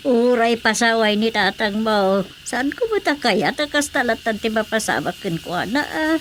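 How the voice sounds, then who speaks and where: Madanagan nga ina, medio natinis. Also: female voice, a little high, animated, normal speed, fairly bright tone, slight background noise. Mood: worried, resolute. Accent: Ilocano. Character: worried, long-suffering mother and devoted wife. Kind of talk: Ilocano radio drama acting.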